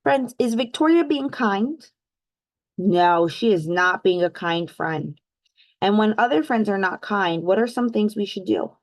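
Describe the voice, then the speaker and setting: A woman hosting a children's read-aloud, telling a storybook expressively with drawn-out emphasis and putting teacherly questions to young listeners. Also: medium pitch, dry recording. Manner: teacherly, addresses listeners as friends; animated, exaggerated storytelling emphasis with drawn-out words.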